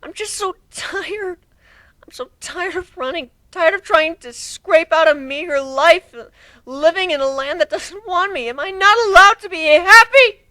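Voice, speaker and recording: A woman's voice: extremely high pitch, a little slow, pushed and bright, dry recording, heavily acted. A woman playing a frightened, remorseful young female griffin, childlike and emotional, in an amateur dramatic story reading. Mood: weary, tearful. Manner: pleading, emotional.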